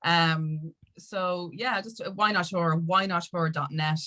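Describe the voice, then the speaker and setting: A woman with an Irish accent speaks in a deep register for a female voice. She talks conversationally as a podcast guest, circling through her points in a halting, rambling fashion. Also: fairly even intonation, bright, forceful tone. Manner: rambling, halting, with many "you know"s. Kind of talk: conversational interview.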